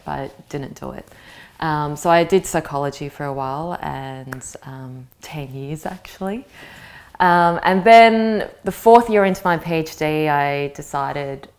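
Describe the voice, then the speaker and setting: A woman in her thirties whose first language is Vietnamese, giving a thoughtful interview answer and often pausing to search for words. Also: low-pitched, darkish tone. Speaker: interviewee, actress. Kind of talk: reflective personal interview talk.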